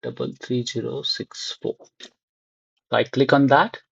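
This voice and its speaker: Guy doing a screen share tutorial, bit raspy, thinking out loud in bits, muffled dry mic. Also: mid-range voice, steady tone, no room sound. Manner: halting, thinking aloud in short fragments. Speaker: software tutorial instructor. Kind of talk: unscripted screen-share walkthrough.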